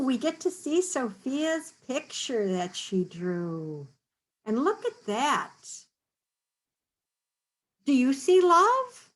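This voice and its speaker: A woman reading a picture book aloud to children, pausing to explain words and ask gentle questions. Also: high voice. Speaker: storytime reader.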